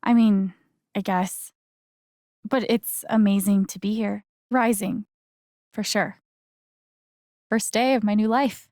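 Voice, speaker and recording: A woman's voice: mid-range voice, steady tone, slow pace, pauses often, darkish tone, no echo, clean studio sound, natural voice. An actress playing a guarded, wry young woman in a video game, speaking in a casual, hesitant, understated way. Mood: friendly, curious. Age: young adult. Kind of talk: naturalistic video game voice acting.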